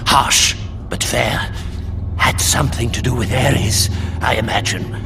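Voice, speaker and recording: A man's voice: mid-range voice, a little slow, vocal fry, over music. An actor playing a half-mad old male prisoner in a video game, muttering, repeating himself and speaking erratically as he obsesses over his record. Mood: rambling, confiding. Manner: muttering, repetitive, giggling, erratic. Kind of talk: dramatic video game acting.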